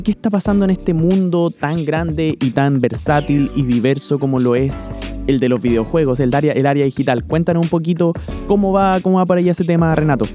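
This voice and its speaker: A Chilean man hosts the show and hands the talk to his panelists, often restarting words. His voice is slightly high and mellow. Light music runs underneath. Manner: stumbling restarts, friendly handoffs. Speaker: show host.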